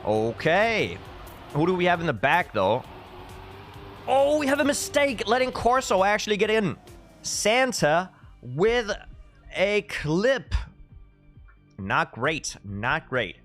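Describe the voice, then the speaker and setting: A man's voice: high voice, very animated, slow pace, long pauses, fairly bright tone. A man, chatting casually and commentating as a live esports caster, with dry, tongue-in-cheek humour. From Denmark. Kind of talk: casual live stream chat with game commentary. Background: music.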